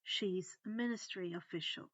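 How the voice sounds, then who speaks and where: A woman's voice: on the low side, normal speed, fairly bright tone, dry recording, lo-fi sound. A woman voicing a polite, anxious but brave teenage boy wizard in a storybook, hesitant and understated. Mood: resigned bravado.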